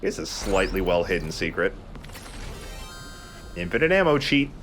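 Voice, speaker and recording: Low-voiced man explaining a video game. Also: big pitch swings, normal speed, fairly bright tone, faint music behind. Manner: casual, explanatory, profane. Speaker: let's play host playing the game. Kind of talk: casual gameplay commentary. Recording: faint background noise.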